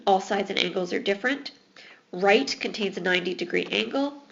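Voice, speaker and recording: A woman's voice: mid-range voice, creaky, a bit bright, lo-fi sound. A woman teaching math in a recorded lesson, explaining patiently while losing her voice. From Alberta, Canada.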